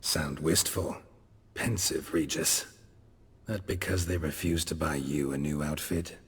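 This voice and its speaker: An actor playing a gruff, grumpy monster hunter in a video game, terse, blunt and deadpan. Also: male voice, a bass voice, a little slow, big-room sound, full character voice. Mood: dry teasing. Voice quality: gravelly, husky.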